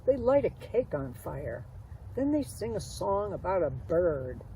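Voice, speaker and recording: A woman's voice: fairly low pitch, big pitch swings, measured pace, mellow. A woman voicing a know-it-all painted turtle in a storybook, explaining things matter-of-factly. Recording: slightly distant mic, faint outdoor noise.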